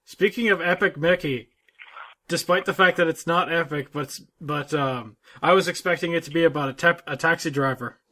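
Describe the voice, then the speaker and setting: American male co-host, voice a bit high, casual banter, halting delivery with repeated words. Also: bright, forceful tone. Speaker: podcast co-host.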